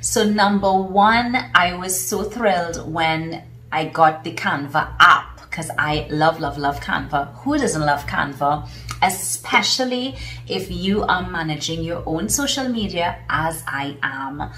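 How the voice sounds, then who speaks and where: A woman's voice: lowish voice, slow pace, sharp tone. Trinidadian woman, chatty, in an echoey room. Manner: enthusiastic, chatty, many fillers. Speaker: vlogger and business coach. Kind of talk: casual talk to camera. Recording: roomy echo, mic at a distance.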